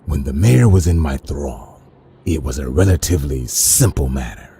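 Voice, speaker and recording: An actor playing a pompous, self-righteous crime boss supervillain in an animated comic, speaking in a deep, dark, gravelly voice with measured menace. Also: male voice, a little hiss or hum. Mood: smug menace. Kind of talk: animated comic villain acting.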